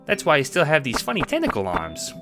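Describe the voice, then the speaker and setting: A man voicing an eager, nervous, childlike cat sprite in an audio drama, hesitant and excitable. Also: on the high side, fairly quick, music in the background, pitched above the performer's own voice. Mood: eager, proud.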